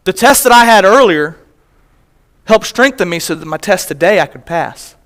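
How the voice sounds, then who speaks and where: A man's voice: sits high, expressive, normal speed, a bit bright. A man, a missionary, preaching to a church congregation, telling a personal story in a conversational way and often restarting his phrases. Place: church sanctuary.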